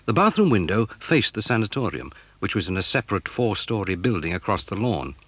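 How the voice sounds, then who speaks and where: A man's voice: fairly low pitch, big pitch swings, a little fast, breathy edge, dry recording. A man with an English accent reading scripted storybook narration for a children's audio drama.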